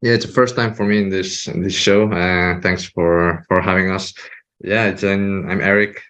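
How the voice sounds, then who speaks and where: A man's voice: low-pitched, steady tone, normal speed, fairly bright tone. A man with a Spanish accent, a guest on a podcast, speaking hesitantly and restarting phrases. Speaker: VP of operations, guest. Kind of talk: podcast interview.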